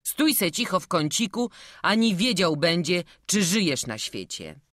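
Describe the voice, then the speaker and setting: A woman voicing a gruff male servant who scolds bluntly and loudly. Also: fairly low pitch, big pitch swings, a little slow, dry recording, clean studio sound, voice pushed up, heavily acted. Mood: scolding.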